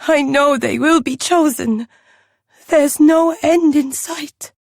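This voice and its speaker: An actress playing a terrified village woman in a video game, speaking in halting, dread-filled phrases. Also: high-pitched, creaky, darkish tone, dry recording, clean studio sound, heavily acted. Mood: fearful. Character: terrified village woman living under a monster's rule.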